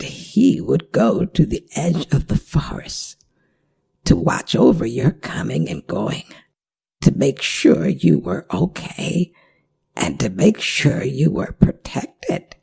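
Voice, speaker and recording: A woman playing a worried, gentle older werewolf mother in an ASMR roleplay, speaking tenderly and dramatically to the listener in a gravelly, breathy voice. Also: sits low, heavily acted. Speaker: voice actor.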